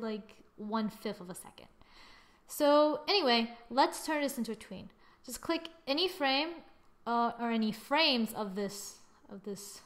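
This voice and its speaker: Higher-voiced woman giving a casual tutorial. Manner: informal, thinking aloud. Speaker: tutorial presenter. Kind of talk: casual software tutorial narration.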